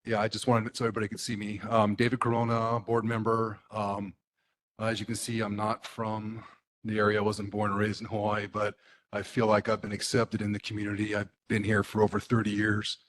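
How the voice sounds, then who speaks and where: A middle-aged man from the US mainland, a neighborhood board member, speaking at a public meeting in a halting way with many fillers and restarts. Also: fairly low pitch, completely flat delivery.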